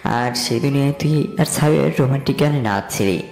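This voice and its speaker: Guy doing a teasing college pal, bit gravelly, audio drama, echoey w music. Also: mid-range voice, brisk, darkish tone, natural voice. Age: young adult. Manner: chummy, teasing. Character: teasing, warm-hearted young college buddy, male. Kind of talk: dramatised audio story acting. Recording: echoey reverb with music behind.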